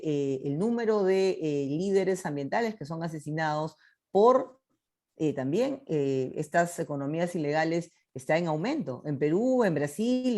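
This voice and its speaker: Lower-voiced woman giving closing remarks. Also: a little mellow. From Peru. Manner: thoughtful, many fillers and tag questions. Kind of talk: panel discussion remarks.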